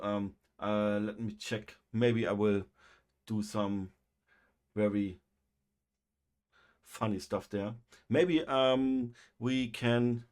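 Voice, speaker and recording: A man with a German accent, a native German speaker, hosting a livestream and chatting casually, with a halting delivery full of pauses and fillers. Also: fairly low pitch, darkish tone. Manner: halting, many fillers and restarts. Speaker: livestream host.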